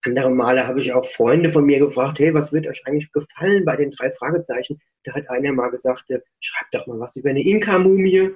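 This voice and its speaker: A man from Germany, an author, answering interview questions over the phone in a relaxed, chatty way with many fillers. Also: medium pitch, a little fast, hardly any pauses. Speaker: book author. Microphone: phone line. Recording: muffled phone-call sound.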